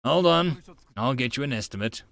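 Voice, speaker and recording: A cranky middle-aged workshop boss played by a male narrator, curt and gruff, with folksy slang. He sounds brusque and impatient, like a no-nonsense landlord in an audio story. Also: medium pitch, expressive, normal speed, fairly bright tone.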